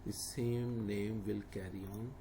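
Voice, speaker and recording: A man's voice: on the low side, steady tone, unhurried. A man talks through an online form step by step, plainly and instructively, with frequent fillers. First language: not English. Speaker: tutor. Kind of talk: screen-recorded walkthrough. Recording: noisy home recording.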